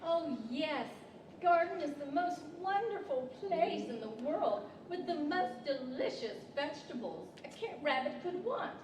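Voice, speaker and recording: A woman's voice: high voice, sing-song, unhurried, darkish tone. A woman playing a dreamy, food-loving young rabbit with a savoring manner, in radio-play style. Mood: dreamy delight. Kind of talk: 1940s radio-style stage acting. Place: library event room, indoors, live stage. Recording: distant, echoey, clearly noisy.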